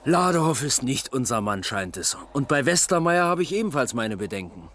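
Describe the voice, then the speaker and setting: Medium-pitched, methodical police lieutenant. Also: male voice, big pitch swings, normal speed, fairly bright tone, slight background noise. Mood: pondering. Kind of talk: German radio crime drama acting.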